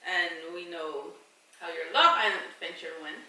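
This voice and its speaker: A woman's voice: on the low side, normal speed, pushed and bright. A woman asking a friend questions in a casual chat, playful and teasing. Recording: echoey room, mic at a distance.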